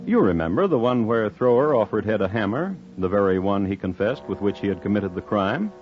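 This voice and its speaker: An actor playing a wry, deadpan storyteller, narrating a 1950s radio crime drama. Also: male voice, fairly low pitch, a little fast. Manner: deadpan, droll. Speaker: narrator and host. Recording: muffled vintage 1950s radio recording. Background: music.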